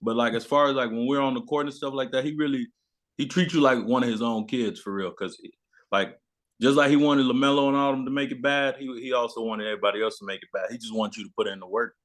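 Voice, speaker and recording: A young man with a medium-pitched voice. He is a guest in a casual chat, telling stories in relaxed everyday slang. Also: fast talker. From born in South Sudan, grew up in Memphis, USA. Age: young adult. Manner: informal, slangy. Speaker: guest, basketball player.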